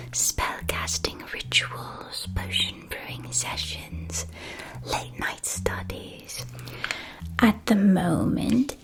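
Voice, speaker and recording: A woman softly whispering a scripted ASMR roleplay as a shopkeeper, breathy and deliberate, with careful enunciation. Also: medium pitch. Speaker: ASMR roleplayer as a witch school supply shopkeeper.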